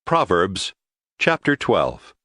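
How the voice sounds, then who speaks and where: A man announces audio Bible chapters in a scripted style. His delivery is slow but animated in pitch. The sound is close and dry. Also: no echo.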